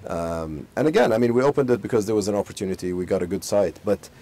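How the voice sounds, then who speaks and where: A man in his forties from Saudi Arabia, a company chief telling stories in a casual interview, full of fillers and restarts, recorded outdoors on a lapel mic. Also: lowish voice. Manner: fast, animated storytelling full of fillers and restarts. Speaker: company CEO, interview guest. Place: outdoor garden beside a pool.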